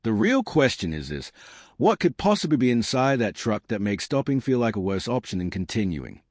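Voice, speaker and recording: Deep, dramatic male true-crime narrator. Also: big pitch swings, moderate pace, a little mellow, no room sound, clean studio sound.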